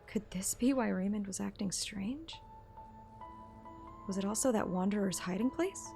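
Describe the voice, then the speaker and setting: Female story narrator, first-person audiobook read, mid pitch with vocal fry, music bed. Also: moderate pace, mellow. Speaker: narrator and streamer.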